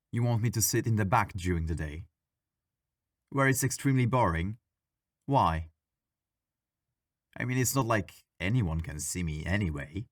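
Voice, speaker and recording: A man playing a friendly, bored, mischievous ghost in an intimate ASMR audio roleplay, talking in a playful, wry, gently argumentative way. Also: lowish voice, no echo, studio quality. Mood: playfully argumentative.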